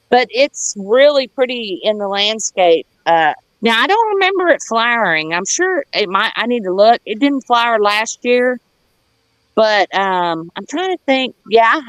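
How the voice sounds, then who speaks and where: A woman's voice: medium pitch, no echo, low-quality recording. A woman with a Southern US accent chatting casually in a folksy, plain-spoken way as a gardener on a livestream. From United States. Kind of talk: casual rambling chat.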